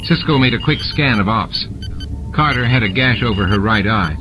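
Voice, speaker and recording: A man's voice: fairly low pitch, lively intonation, normal speed, poor audio. A man reading a science-fiction novel as dramatic scripted narration, with sound effects added. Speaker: audiobook narrator. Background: music and sound effects.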